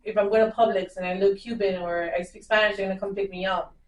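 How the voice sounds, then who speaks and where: A woman's voice: medium pitch, fairly even intonation, fast, slight background noise. A young woman hosting a casual podcast, chatty and emotional, asking lots of questions. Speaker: podcast host. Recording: roomy, mic a bit far.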